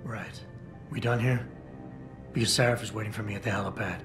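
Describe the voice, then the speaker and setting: A man acts out a hard-boiled male security chief from a video game. His voice sits fairly low, and he speaks tersely with dry, sardonic stoicism. Music is clearly audible behind him. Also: fairly even intonation, a little fast, close to an everyday voice. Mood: curt. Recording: background music under the voice.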